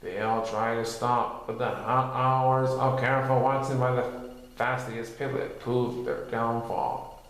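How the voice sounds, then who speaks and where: A man reading a children's story aloud as narrator, in an expressive storytelling style. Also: medium pitch, unhurried. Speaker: storybook reader. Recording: very echoey, reverberant room.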